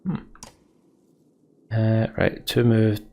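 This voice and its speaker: A man's voice: on the low side, completely flat delivery, very slow, a little mellow. A young man thinking aloud as he plays a game, muttering to himself. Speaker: let's play host. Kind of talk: gameplay commentary.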